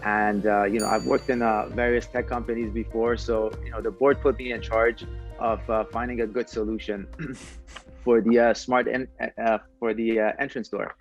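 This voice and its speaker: A man giving conversational answers as a podcast guest, speaking in a halting way with frequent pauses. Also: fairly low pitch, level tone, soft background music. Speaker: podcast guest, tech entrepreneur.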